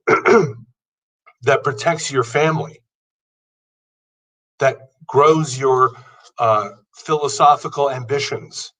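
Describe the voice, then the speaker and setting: A man's voice: mid-range voice, a bit bright, far from the mic, rough recording. A man in his fifties giving an earnest motivational talk, building his points through long lists. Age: 50s. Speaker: podcast host. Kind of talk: motivational monologue.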